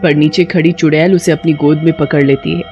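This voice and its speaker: A woman's voice: low voice, steady tone, a little fast, darkish tone, lo-fi sound, faint music behind. A woman reading scripted cartoon story narration as the narrator.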